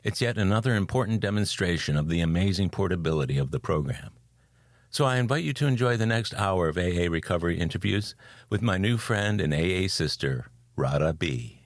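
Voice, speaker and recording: An older man hosting a recovery podcast, reading a measured scripted introduction and then chatting with a guest. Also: low-pitched, animated, no room sound, studio quality. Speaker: podcast host.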